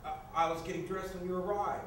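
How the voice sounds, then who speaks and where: A man's voice: sits high, flat delivery, fast talker, close to an everyday voice. A man playing a flustered, exasperated adult son in a staged screenplay reading, stammering, defensive and sarcastic. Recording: echoey room, distant mic, noisy.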